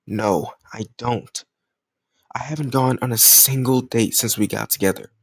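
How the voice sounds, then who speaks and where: A man's voice: medium pitch, measured pace, creaky, slightly rough, no echo. Man acting a jealous lover, close and dry. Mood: earnest, tender. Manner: emotional, colloquial, insistent. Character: jealous, stubborn young male lover confessing his love. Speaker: audio roleplay voice actor. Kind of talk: intimate scripted romantic audio roleplay.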